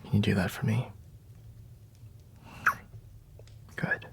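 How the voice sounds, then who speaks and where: A man playing a flustered, secretly tender young bodyguard in an intimate ASMR roleplay, speaking haltingly in a husky, breathy near-whisper. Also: close to an everyday voice. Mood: hesitant, hushed. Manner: hesitant, halting, grudgingly soft, frequent sighs.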